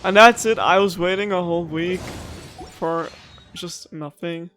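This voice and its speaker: A woman's voice: lowish voice, unhurried, fairly bright tone. A woman gaming streamer giving casual let's-play commentary. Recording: game music playing behind.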